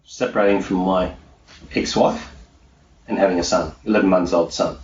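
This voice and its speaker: A middle-aged man with a low voice talks in an emphatic, conversational way, repeating points for weight. He sounds set back from the microphone in a very echoey room, like a guest answering interview questions. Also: fairly even intonation, normal speed, a little mellow. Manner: emphatic, repetitive, punctuates points with "okay". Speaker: interviewee, self-employed mindset coach. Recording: roomy, distant, muffled, faint background noise.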